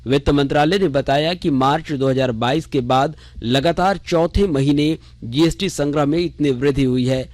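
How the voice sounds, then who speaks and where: A man reading a scripted radio news bulletin in a measured, formal way. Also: fairly high pitch, darkish tone, dry recording. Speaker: news reader. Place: radio studio.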